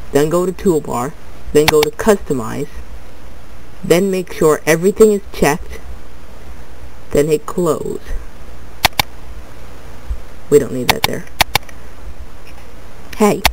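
A man's voice: fairly high pitch, a little mellow, slight background noise, low-quality recording. Stuffed-up young man rambling through a tutorial. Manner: rambling, repeats "but anyway", restarts words. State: stuffed-up nose from a cold. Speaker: tutorial video maker. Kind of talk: casual screen-recorded tutorial.

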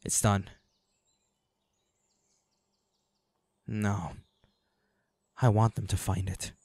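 A man's voice: fairly low pitch, flat delivery, slow pace, a little mellow, no echo. A man playing a protective, devoted hunter boyfriend in a dramatic roleplay audio, speaking earnestly and intensely. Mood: curt, subdued.

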